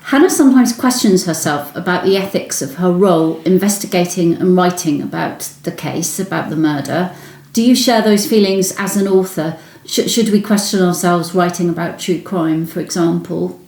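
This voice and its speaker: A woman's voice: lowish voice, moderate pace, a little mellow. A woman interviewer asking questions in a conversational literary interview style. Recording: echoey room sound.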